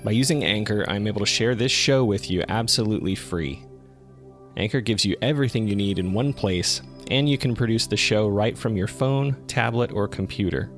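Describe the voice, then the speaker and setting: Deep, gravelly male podcast host. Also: moderate pace, soft background music. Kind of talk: scripted podcast narration.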